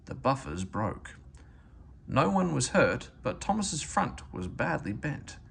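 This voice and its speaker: A man's voice: medium pitch, very animated, slow, creaky. A man narrating a children's story aloud as a read-aloud reader, with faint noise in the recording.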